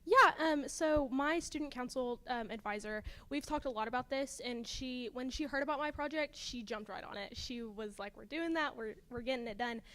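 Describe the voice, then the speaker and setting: A woman's voice: high-pitched, normal speed, few pauses, a bit bright. A teenage girl presenting a student project and answering judges' questions, earnest and sprinkled with ums. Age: teens.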